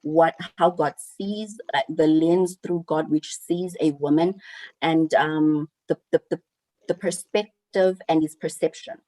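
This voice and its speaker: Low, warm-voiced woman guest. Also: fairly even intonation, unhurried, poor audio. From South Africa. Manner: thoughtful, earnest, repeats words while searching for them. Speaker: panel guest. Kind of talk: casual online panel chat.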